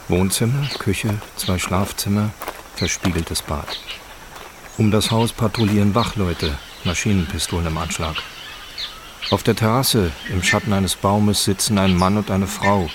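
A man's voice: low-pitched, a little slow, noisy background. A man giving scripted, descriptive narration that sets the scene in a radio docudrama. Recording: clear outdoor ambience with birdsong. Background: birds and rural outdoor ambience.

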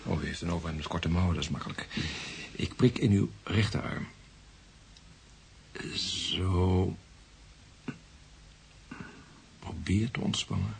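A probing psychiatrist in a radio play. His low, fry-edged voice is calm and measured, guiding with clinical patience over a faint hiss. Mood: soothing. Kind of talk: Dutch-language radio play acting.

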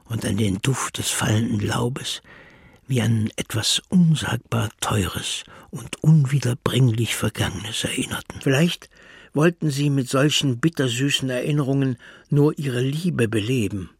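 Husky, creaky male literary narrator. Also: medium pitch, a little slow, no echo, clean studio sound.

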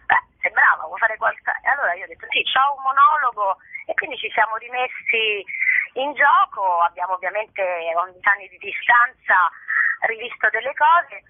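A woman's voice: on the high side, lively intonation, normal speed, dry recording. A middle-aged woman speaking effusively and spontaneously in an interview over the telephone, often repeating her phrases as she goes. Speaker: actress interviewed about a show. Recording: band-limited, dry telephone line.

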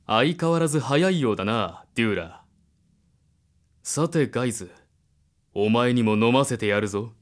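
A man's voice: medium pitch, lively intonation, moderate pace, pauses often, close to an everyday voice. An actor playing a contemptuous man in authority in an audio drama, with a mocking, commanding manner. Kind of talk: Japanese audio drama acting.

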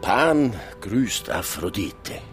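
Deep-voiced, wry Bavarian vagrant. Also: male voice, very animated, drawn-out words, full character voice. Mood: mock-pompous. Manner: wry, mock-pompous. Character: sardonic, playful small-town male vagrant. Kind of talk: naturalistic radio drama dialogue. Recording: music behind the voice.

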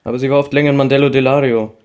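A man's voice: medium pitch, little pitch movement, rapid-fire, darkish tone, lightly acted. An actor playing a cheeky male youngster in a radio crime drama, answering in a casual, slangy way. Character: cheeky male youngster haggling for information money.

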